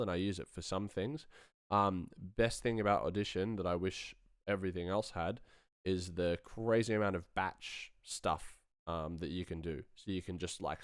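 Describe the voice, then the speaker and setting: Man, low smooth voice, dry close sound, casual slangy tutorial, self-deprecating. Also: no room sound. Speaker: sound designer giving a tutorial. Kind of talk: casual live-streamed software demo.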